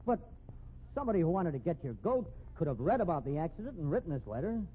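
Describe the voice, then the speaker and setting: A man's voice: fairly high pitch, big pitch swings, fast, breathy edge. An actor in a 1950s radio drama playing an earnest, dogged male amateur detective with an insistent, slangy way of talking. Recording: tinny old radio recording.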